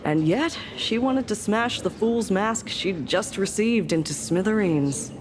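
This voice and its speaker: A woman's voice: medium pitch, animated, a little slow, crowd noise, natural voice. A woman playing a sardonic, world-weary fake hospital doctor in a video game, with a wry, storytelling delivery. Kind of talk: animated video game voice acting. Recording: music behind the voice.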